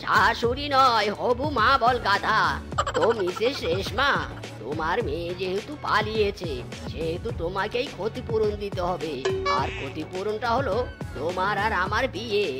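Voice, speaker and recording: A woman voicing a vain, scolding old father fox in a cartoon, gruff and commanding. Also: medium pitch, animated, normal speed, over loud music, close to an everyday voice. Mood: bossy, smug. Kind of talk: exaggerated Bangla cartoon acting.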